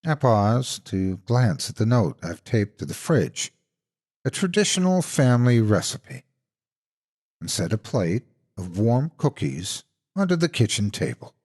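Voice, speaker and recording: Older male horror narrator. Lower-pitched, highly varied intonation, deliberate scripted storytelling delivery. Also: big pitch swings, a little mellow, slightly rough.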